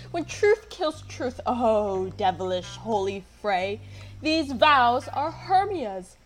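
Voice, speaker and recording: A woman playing a wounded, indignant young gentlewoman in a Shakespearean radio play, reproachful and theatrically emphatic. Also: fairly high pitch.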